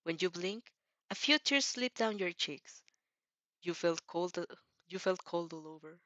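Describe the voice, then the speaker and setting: A woman's voice: on the low side, expressive, unhurried, pushed and bright, no echo, lo-fi sound. Non-native English-speaking woman, dry close sound. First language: not English. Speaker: host reading fan fiction aloud. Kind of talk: casual reaction chat and story reading.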